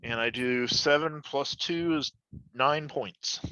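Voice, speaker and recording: A man with a sharp, forceful voice at a middle pitch talks as a player in a tabletop game. He banters in a wry, colloquial way. The recording is a little dull and muffled. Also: takes it slowly, clear, resonant tone, no echo.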